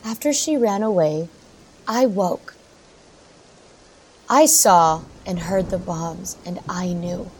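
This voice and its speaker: An actress playing a haunted, grieving middle-aged mother in an audio drama, speaking in a halting, emotional way. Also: lowish voice, darkish tone, slight background noise, low-quality recording. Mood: grieving, regretful. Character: grieving, worn-out mother haunted by her runaway daughter. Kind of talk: audio drama acting, monologue.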